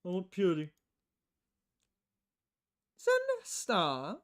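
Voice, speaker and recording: Woman putting on a loud, pompous, purity-obsessed bar patron. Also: fairly low pitch, sing-song, very slow, full character voice. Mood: pompous, emphatic.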